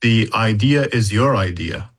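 A man who speaks English as a second language, explaining things as an educator in a measured interview conversation, using examples. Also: lowish voice, lively intonation, breathy edge. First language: not English. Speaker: university educator in data analytics.

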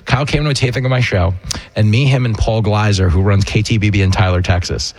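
A man hosting a comedic talk radio show, bantering in a jokey, animated way with a raspy voice. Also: fairly low pitch, quick pace. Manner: jokey, animated, slipping into mock dialogue.

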